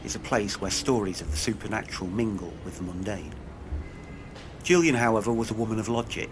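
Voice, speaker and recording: A man's voice: on the low side, animated, a little fast, noisy background. A man narrating a scripted true-crime podcast as investigator, solemn and dramatic.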